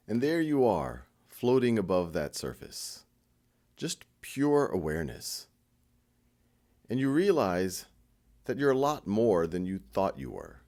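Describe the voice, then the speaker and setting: Male meditation guide: gentle and reassuring, unhurried delivery with a lively, moving pitch.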